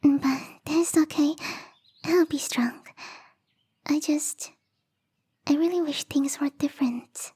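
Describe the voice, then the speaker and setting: A woman voicing a lonely, bubbly young female snow spirit, soft and whispery, in a sweet, pleading ASMR roleplay. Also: high voice, slow pace, long pauses. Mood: sad, resigned.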